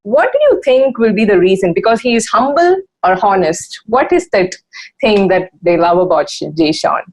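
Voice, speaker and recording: A woman's voice: mid-range voice, animated, poor audio. A woman from India with an Indian English accent, a Tamil native speaker, hosting a radio interview and asking eager questions. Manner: quick affirmations, eager. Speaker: radio host.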